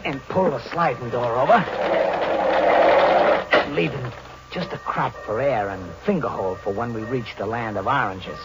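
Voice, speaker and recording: An actor playing a streetwise, jumpy young American drifter in an old radio thriller, talking in colloquial style. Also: male voice, medium pitch, normal speed, pauses often, over loud music, natural voice. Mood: easygoing. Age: young adult. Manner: colloquial first-person storytelling. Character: streetwise, jumpy twenty-one-year-old male drifter riding freight trains. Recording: dull, noisy old radio recording.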